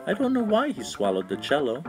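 A man's voice: a little high, huge pitch swings, a little fast. Man reading a rhyming storybook, music behind. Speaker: children's book read-aloud narrator. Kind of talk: storybook read-aloud for children. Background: instrumental music.

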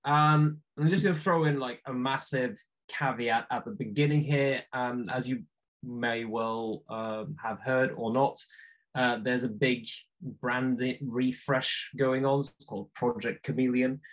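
A man whose first language is Norwegian walks through video text templates on a video call, talking in a relaxed, chatty way with lots of ums and uhs. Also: medium pitch, measured pace, low-quality recording. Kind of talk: screen-share tutorial on a video call. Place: home room with bookshelves.

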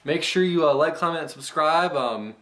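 A man, hosting a mock cooking tutorial in a halting, deadpan way. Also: on the high side. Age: 20s. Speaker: host of a comedic cooking segment. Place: home kitchen indoors. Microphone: camera mic held by another person nearby. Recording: noisy camera audio, room noise.

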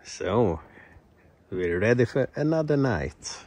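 A man from Sweden, a native Swedish speaker, chatting casually and haltingly as he vlogs a fishing and camping trip. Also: medium pitch, sing-song, a little mellow. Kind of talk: casual chat to camera while fishing. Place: outdoors by lakes and a forest campsite. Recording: outdoor noise on camera mic.

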